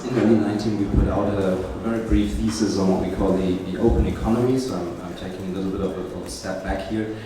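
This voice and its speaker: A man speaking as a venture investor on a conference panel, measured, with many "um" pauses. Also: low voice, fairly even intonation, crowd noise. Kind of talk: casual panel talk. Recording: echoey hall, distant mic, clear hum.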